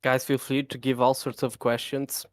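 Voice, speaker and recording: A man co-hosting a casual livestream Q&A for his game, talking in an excitable rush, repeating words and laughing often. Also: medium pitch, moderate pace, no echo, clean studio sound. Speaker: game developer co-host.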